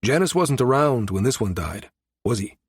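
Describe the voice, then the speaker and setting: A man voicing a grave, inscrutable investigator with a husky, deliberate delivery in an audiobook. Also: medium pitch, lively intonation, no echo. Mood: serious, probing. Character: grave, inscrutable male investigator, measured and probing. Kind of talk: audiobook character dialogue.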